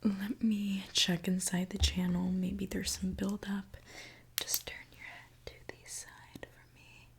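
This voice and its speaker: Female ASMR performer as a gentle, attentive mechanic; whispered, very unhurried delivery. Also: mid-range voice, level tone, vocal fry, husky. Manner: soft, slow, reassuring, attentive. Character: gentle mechanic repairing a robot client. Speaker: ASMR creator.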